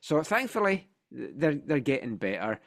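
A Scottish man hosting a football podcast chat, his pitch swinging up and down as he tells a story. He sounds a bit congested from a sinus infection. He talks in a chatty, playful way, full of asides. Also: big pitch swings, measured pace, gravelly. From Scotland. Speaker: podcast host.

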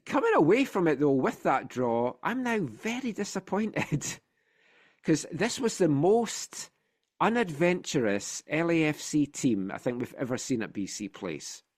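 A Scottish man hosting a football podcast chat, his pitch swinging up and down as he tells a story. He sounds a bit congested from a sinus infection. He talks in a chatty, playful way, full of asides. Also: big pitch swings, a little slow. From Scotland. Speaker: podcast host.

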